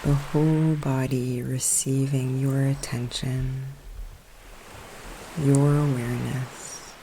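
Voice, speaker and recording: A woman guiding a relaxation meditation in a soothing, hushed, unhurried manner, with long pauses between phrases. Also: extremely low pitch. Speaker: guided meditation coach. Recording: steady ocean surf noise behind. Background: sea waves.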